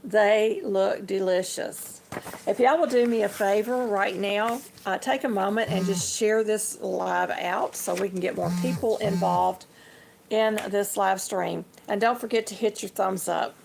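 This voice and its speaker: An older woman hosting a casual livestream, slightly hoarse and chatty, talking to her viewers as y'all. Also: medium pitch, steady tone, a little slow. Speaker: livestream host. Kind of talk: casual livestream show-and-tell.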